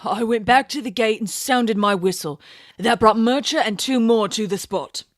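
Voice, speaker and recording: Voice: medium pitch, moderate pace, no room sound, clean studio sound, close to an everyday voice. A performer voicing a garrulous, superstitious working-class London police constable in a dramatized audiobook, with colloquial, rambling storytelling. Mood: amused, recounting.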